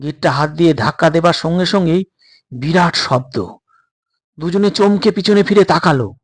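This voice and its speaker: A man with a husky voice reading a scripted suspense story in a dramatized narrating style. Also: a little high, expressive, normal speed.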